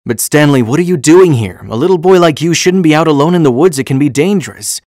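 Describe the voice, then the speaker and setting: Kindly older bus driver, voiced by a man, gentle. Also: on the high side, animated, fast, no echo, clean studio sound, lightly acted. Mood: concerned, reassuring. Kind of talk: storybook dialogue.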